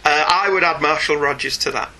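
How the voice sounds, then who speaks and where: A man's voice: fairly high pitch, a little fast, low-quality recording. A man with a Northern English accent hosting a casual comics podcast, reading listener emails and joking in asides. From northern England. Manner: jokey, animated, digressing into asides. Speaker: podcast host.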